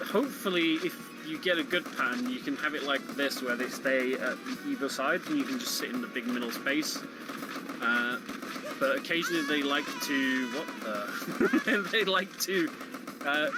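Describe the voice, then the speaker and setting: A man commentates live on a video game in an informal, explanatory way. His voice sits at an average pitch, with game music clearly playing behind him. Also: poor audio. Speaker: speedrun commentator.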